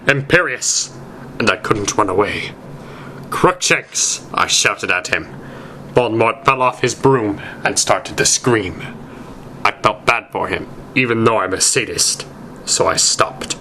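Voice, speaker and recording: A man reading a story aloud as narrator, with deliberate, emphatic, amateur dramatic delivery. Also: lowish voice, pushed and bright, slight background noise.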